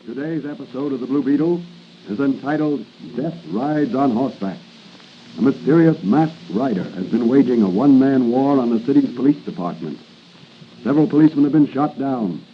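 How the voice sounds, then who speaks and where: A man's voice: medium pitch, lively intonation, moderate pace, natural voice. An American man announcing and narrating with dramatic, scripted 1940s radio delivery. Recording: muffled, noisy 1940s radio recording.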